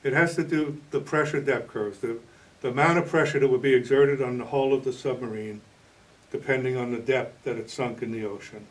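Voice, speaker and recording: An older man in his sixties speaks as an interview guest, explaining in a measured, halting way. His voice sits at an ordinary male pitch, picked up a little away from the microphone. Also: fairly even intonation, far from the mic, rough recording. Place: indoor TV studio, green screen.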